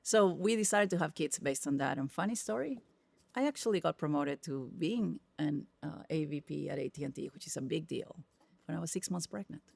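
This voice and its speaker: A middle-aged woman with a Spanish accent, Spanish being her first language, tells stories with emphatic, lively energy. Her voice carries a slightly gravelly texture. The sound is dry and close. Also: sits low, fairly quick, dry recording. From Peru. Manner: animated, emphatic storyteller. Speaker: podcast guest, tech executive. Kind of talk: casual interview conversation.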